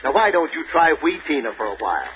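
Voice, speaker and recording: A man's voice: fairly high pitch, big pitch swings, quick pace, a little hiss or hum. A man playing a folksy, emphatic announcer in the style of 1930s radio advertising. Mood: friendly persuasion. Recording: muffled 1930s radio recording, music behind.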